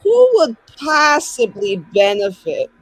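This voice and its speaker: Animated woman chatting at a gaming table. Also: on the high side, slow pace, slightly rough, no echo, low-quality recording. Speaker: tabletop roleplaying game player. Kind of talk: casual game-table chat.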